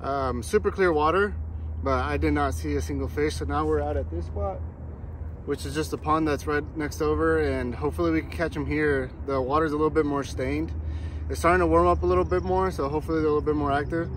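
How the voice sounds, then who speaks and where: A young man casually narrating his fishing trip outdoors, relaxed and chatty as he explains what he is doing. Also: fairly high pitch, a little fast. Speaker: fishing vlogger. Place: outdoors by a pond on a windy day. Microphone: camera-mounted mic, point-of-view and selfie framing. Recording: faint wind and outdoor noise.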